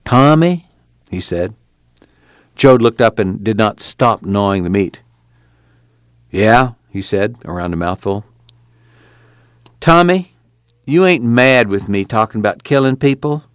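A man's voice: on the low side, expressive, a little slow, long pauses, smooth, no echo, clean studio sound. A man voicing a lonely, bitter older farmer who talks in a raw, pleading way that rises to anger. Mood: timid.

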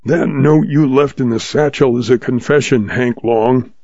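Snarly greedy fat banker done by a guy, gravelly, dull sound. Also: medium pitch, unhurried, darkish tone, dry recording. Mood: snarling. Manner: snarling, sneering.

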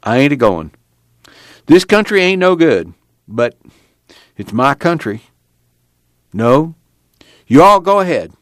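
A man's voice: fairly high pitch, big pitch swings, takes it slowly, pauses often, a bit bright, no echo, studio quality, pitched above the performer's own voice, exaggerated voice. Man doing a cranky old farmer grandpa. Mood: stubborn. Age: older. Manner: cranky, defiant, folksy rural speech.

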